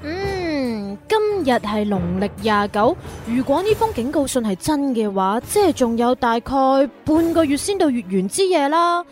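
Radio drama: actress as young Hong Kong Cantonese woman, high voice, cheerful, righteous and easygoing, light music underneath. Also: big pitch swings, normal speed, few pauses.

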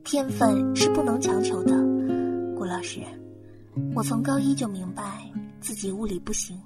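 A young female law student as a woman acts her in an audio drama. Her voice sits high, earnest and plaintive, with music playing clearly behind it. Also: normal speed, lightly acted. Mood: subdued, resigned. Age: young adult. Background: music with guitar.

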